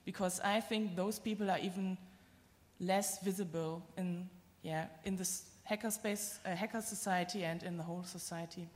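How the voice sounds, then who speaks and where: A woman's voice: on the low side, steady tone, moderate pace, background noise. A woman making a pointed, questioning remark as a participant in a public discussion. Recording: echoey hall with long reverb.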